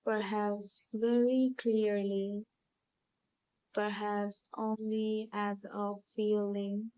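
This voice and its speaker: A woman leading a guided visualisation in a calm, soothing, hypnotic storytelling manner, leaving long pauses between phrases. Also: medium pitch, dry recording, poor audio. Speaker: hypnotherapy channel host and guide.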